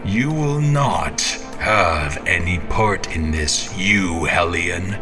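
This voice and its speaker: A man's voice: low-pitched, animated, slow, music in the background. A man playing an obsessed, menacing, half-mad champion in a theatrical monologue, grandiose and brooding, with whispery breaths. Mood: menacing, defiant.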